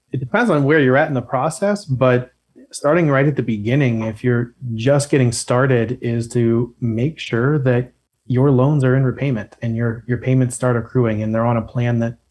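A man's voice: medium pitch, fairly even intonation, normal speed, a little mellow. A man talking as a podcast guest, explaining things in a chatty, lightly joking way. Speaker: guest expert on loans. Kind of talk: casual podcast chat.

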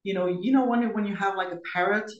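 A woman's voice: mid-range voice, steady tone, fast, lo-fi sound. A middle-aged woman from East Germany, telling her own story conversationally as a podcast guest, with informal asides. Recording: roomy, echoey sound.

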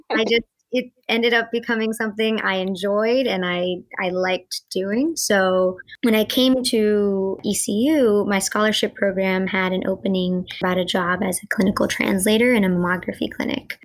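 Female, 20, American accent, average pitch, conversational student interviewee. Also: unhurried. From North Carolina, USA. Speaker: podcast guest, student and interpreter. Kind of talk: conversational interview, personal account.

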